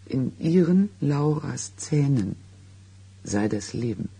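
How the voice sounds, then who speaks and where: Radio drama role: haunted man confiding an old secret, very husky, mellow, halting and dramatic. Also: medium pitch, animated, full character voice. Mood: intense, confiding. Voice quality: husky, gravelly. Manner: halting, dramatic storytelling with weighted pauses. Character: haunted man recounting an eerie childhood secret. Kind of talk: German radio play acting.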